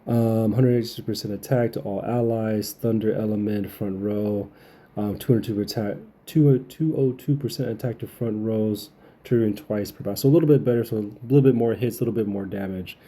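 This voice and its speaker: Low, husky-voiced man, mellow gaming commentary. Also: fairly even intonation, fairly quick. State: getting over a cold, occasional cough. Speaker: gaming channel host. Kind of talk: casual game update commentary.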